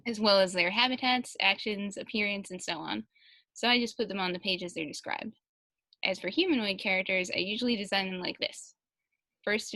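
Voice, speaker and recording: Woman w a bright clear-cut voice, casual q&a chat n reading stuff out, really dry close sound. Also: medium pitch, no echo. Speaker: translator reading out an interviewee's answers.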